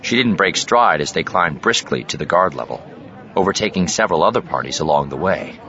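Deep-voiced male audiobook narrator. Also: lively intonation, a little fast, bright, forceful tone. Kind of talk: dramatic scripted narration of science fiction. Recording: slightly dull studio track over ambient effects.

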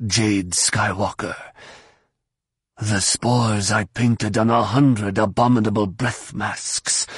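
A man voicing a menacing, contemptuous alien villain with a growling, raspy voice in an audiobook. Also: on the low side, no echo, studio quality, full character voice. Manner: slow, menacing, sneering.

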